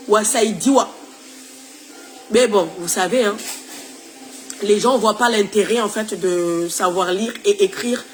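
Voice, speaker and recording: A woman's voice: on the high side, a little hiss or hum. A woman, recovering from flu, talking casually and opinionatedly to her followers while switching between languages. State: recovering from flu, tired-sounding. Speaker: social media creator. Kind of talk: casual talk to followers, mixing in Shimaore.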